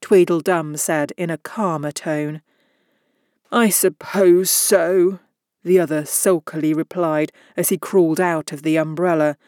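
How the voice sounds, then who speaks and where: A woman narrating a children's story from a script, with expressive delivery. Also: low voice, moderate pace, few pauses, no room sound, clean studio sound. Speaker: volunteer audiobook reader.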